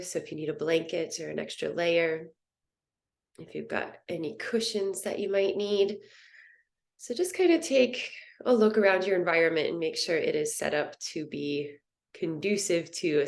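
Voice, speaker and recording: A woman teaching a guided meditation in a soothing, gentle, unhurried manner. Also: fairly low pitch, creaky. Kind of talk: guided yoga nidra meditation.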